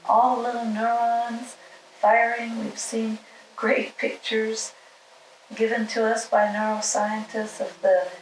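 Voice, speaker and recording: Very slow, soothing woman leading meditation. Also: a little high, level tone, a little hiss or hum. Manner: soothing, gentle instruction in short, spaced phrases. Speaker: guided meditation leader. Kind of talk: guided meditation, spoken slowly with long pauses. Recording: roomy, distant mic, music underneath.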